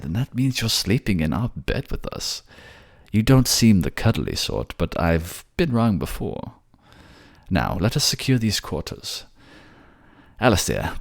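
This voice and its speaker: A man playing a brisk, soothing, protective mage king in a fantasy audio drama, with animated, emphatic delivery. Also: lowish voice, voice pushed up. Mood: teasing, commanding.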